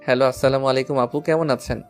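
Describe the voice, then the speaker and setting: Man acting an earnest lovesick schoolboy, even-toned. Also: mid-range voice, fast, over loud music, close to an everyday voice. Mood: respectful. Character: lovesick, devoted schoolboy, respectful to elders. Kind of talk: Bengali audio story acting.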